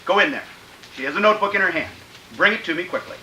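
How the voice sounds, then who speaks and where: Grandiose, menacing would-be tyrant, echoey. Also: male voice, high voice, fast talker, sharp tone, a little hiss or hum. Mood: commanding. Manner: grandiose, theatrical declaiming. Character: megalomaniac male dictator's schemer, grandiose and menacing. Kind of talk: old-time radio drama acting.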